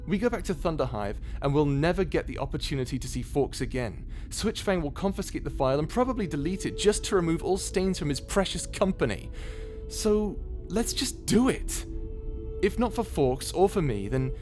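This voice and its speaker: Brash space pirate captain, male actor, very animated, flippant and rousing, music behind. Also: a little high, moderate pace, close to an everyday voice. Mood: urgent, rousing. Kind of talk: scripted audio drama. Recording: background music under the voice.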